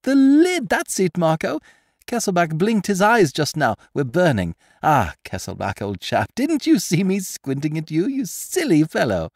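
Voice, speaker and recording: Guy doing a smug gentleman thief, high and loud, bouncy, taunting, dry close sound. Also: big pitch swings, no room sound. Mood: gleeful. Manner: brisk, mocking, triumphant taunting. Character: cocky gentleman thief, adult man, gleeful schemer. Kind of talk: audiobook character voice.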